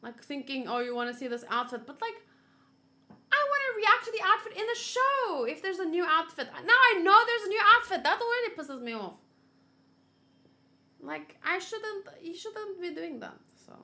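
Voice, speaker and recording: A woman's voice: very high-pitched, big pitch swings, moderate pace, bright, forceful tone. A woman streaming as she reacts to a game, chatting casually and rambling with lots of fillers.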